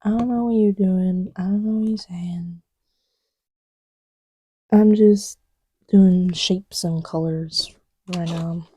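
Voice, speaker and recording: Woman playing a soft, dark-voiced, playful girlfriend. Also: fairly low pitch, steady tone, husky, dry recording. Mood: absorbed, casual. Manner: soft, halting, murmured, teasing. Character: playful, easily distracted young girlfriend absorbed in a hobby. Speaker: audio roleplay voice actor. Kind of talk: intimate ASMR girlfriend roleplay.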